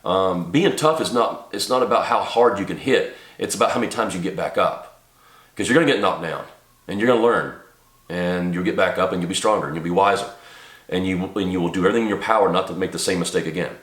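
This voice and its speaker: Deep-voiced, congested man talking to camera. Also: fast talker, a bit bright, roomy sound. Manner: rambling, many ums and restarts, earnest. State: congested from a cold. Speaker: channel host and small business owner. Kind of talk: casual talk to camera. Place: home office.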